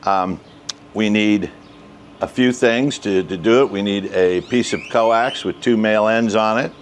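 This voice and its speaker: Male host, 60s, slow deliberate tutorial delivery, outdoor recording with some background noise. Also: lowish voice. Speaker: host, ham radio hobbyist. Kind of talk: casual step-by-step tutorial. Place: outdoors, residential backyard and covered patio.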